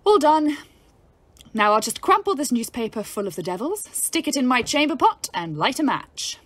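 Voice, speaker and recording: An actress playing a brash, irreverent, upper-class young British ambulance driver, bold and mocking in an audio play. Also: female voice, sits high, big pitch swings, moderate pace. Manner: bold, mocking, salty-tongued.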